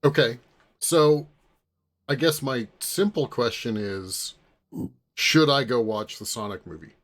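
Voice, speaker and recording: Mid-pitched man, halting casual chat. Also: a bit bright. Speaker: podcast panelist.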